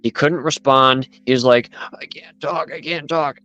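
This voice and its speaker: A man's voice: mid-range voice, fairly even intonation, moderate pace, sharp tone, dry recording, highs cut off. A man in casual chat, rambling as he retells events with lots of asides.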